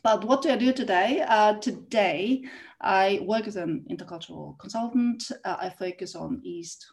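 A woman's voice: mid-range voice, moderate pace, darkish tone. A middle-aged woman from South Korea who grew up in Austria, speaking as a podcast guest in a reflective way with many hesitations. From born in South Korea, raised in Austria. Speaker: guest, intercultural consultant. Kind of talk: podcast interview.

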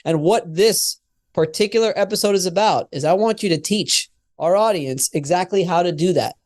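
A man's voice: high voice, moderate pace, a little mellow. A man hosting a podcast, enthusiastically introducing his guest and the episode topic. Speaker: podcast host. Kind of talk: podcast interview.

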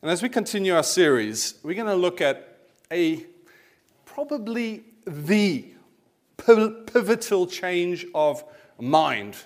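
A South African man in his forties preaches in a relaxed, storytelling way, speaking a little higher than most men. He drops in wry asides and light self-mockery as he goes. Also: slow pace. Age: 40s. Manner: conversational storytelling with asides and self-deprecating humour. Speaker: pastor. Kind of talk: sermon.

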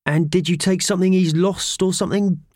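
A man's voice: high voice, steady tone, a little fast, a little mellow, no echo, studio quality, pitched above the performer's own voice. A man voicing a chatty, nosy postman in a children's storybook, rambling and inquisitive.

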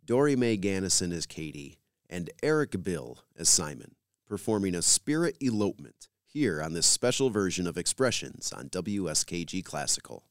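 Guy hosting a classical radio show, kinda low voice, really animated pitch, super dry sound, scripted intros. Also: normal speed, hardly any pauses, dry recording. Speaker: radio program host.

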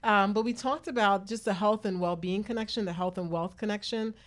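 Woman, radio host, casual chat, mid-range pitch. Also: brisk.

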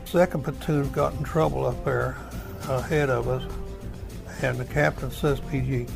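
An older American man with a very creaky, gravelly voice and an even tone. He is a veteran reminiscing in halting, plain words, with music playing behind him. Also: medium pitch. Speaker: war veteran recounting his experience. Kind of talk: unscripted oral history reminiscence.

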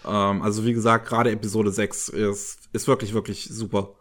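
Man, podcast co-host, casual review talk, halting, fumbles for words. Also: fairly low pitch, level tone, fairly bright tone. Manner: hesitant, searching for words with many fillers.

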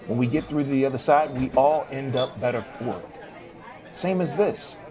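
A man playing a friendly, steady, hopeful American barman, plain-spoken and reassuring, in an audio drama. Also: medium pitch, moderate pace, crowd noise, close to an everyday voice. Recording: music behind the voice.

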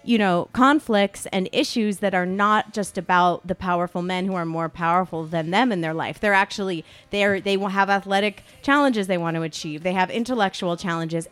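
A woman co-hosting a podcast in loose, casual conversation, often saying "like". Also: fairly low pitch. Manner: conversational, peppered with "like". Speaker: podcast co-host. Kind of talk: casual chat.

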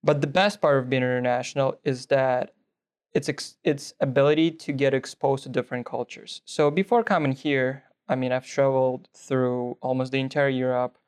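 Young male guest from Belarus, Russian native, smooth clear voice, earnest answers. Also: mid-range voice, fairly even intonation, normal speed, a little mellow. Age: young adult. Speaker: guest, nursing student. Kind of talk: interview answers.

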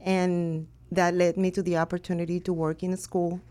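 A Cuban-born woman answers interview questions in a measured, unhurried way. Her voice sits somewhat low for a woman, and she pauses now and then to find her words. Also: little pitch movement, mellow. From Cuba. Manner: measured, with some hesitations. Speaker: school owner and director, guest.

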